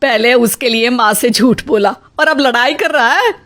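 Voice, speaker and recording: A woman's voice: fairly high pitch, moderate pace, a bit bright, natural voice. A woman playing a quarrelsome middle-aged Indian mother-in-law in a dramatized moral story, with a theatrical, complaining delivery. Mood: accusing.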